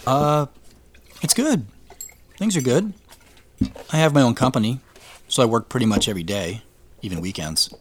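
A man's voice: medium pitch, animated, normal speed, pauses often, natural voice. An actor playing an awkward, modest businessman in his late thirties, speaking hesitantly in an audio drama. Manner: hesitant, self-deprecating. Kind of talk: naturalistic audio drama dialogue.

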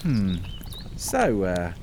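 An actor playing a bumbling, excitable, good-natured mage with a hesitant, earnest manner in a comedic fantasy audio drama. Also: deep voice, drawn-out words, a little hiss or hum, full character voice. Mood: hesitant, calm.

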